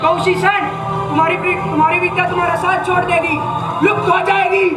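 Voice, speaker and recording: A man's voice: very high voice, little pitch movement, fairly quick. A male actor playing a stern, wrathful warrior-sage teacher in a mythological stage play, declaiming imperiously. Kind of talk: stage drama in formal, declamatory Hindi. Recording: distant echoey stage, loud music, crowd.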